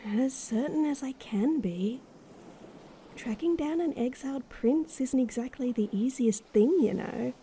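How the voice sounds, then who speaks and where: A woman playing a loyal, calm noblewoman advisor in an audio drama, with dry, teasing understatement. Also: sits high, a little slow, a little hiss or hum. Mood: measured.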